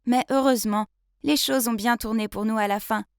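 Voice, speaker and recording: Woman playing a young female intern, fairly high voice, dry close sound, expressive, confiding story narration. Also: moderate pace, gravelly, smooth, no room sound. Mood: relieved, confiding. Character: earnest young female office intern telling her love story. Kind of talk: dramatised first-person story narration.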